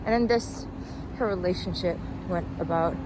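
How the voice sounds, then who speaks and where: A woman's voice: on the low side, normal speed. A young adult woman giving casual, rambling commentary as a vlogger, informal and hesitant, with trailing thoughts, recorded outdoors while walking. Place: outdoors, walking along a street. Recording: noisy outdoor recording with traffic ambience. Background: clear street and vehicle noise.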